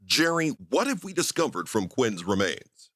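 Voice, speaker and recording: Man acting stern, animated spaceship commander. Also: medium pitch, normal speed, no echo, clean studio sound. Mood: brisk. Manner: clipped, authoritative. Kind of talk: parody of 1950s radio science fiction acting.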